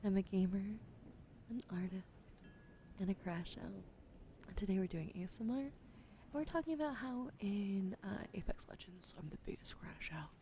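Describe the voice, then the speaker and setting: A woman's voice: medium pitch, animated, normal speed, dark tone, a little hiss or hum. A young woman streamer with a wheezy throat, whispering in ASMR style, thanking viewers and swearing casually.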